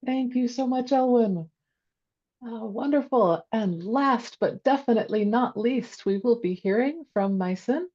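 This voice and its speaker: Woman, event host, upbeat, fairly high, dark mellow tone, distant dull call audio. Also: a little slow. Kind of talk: hosting an online event. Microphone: video call.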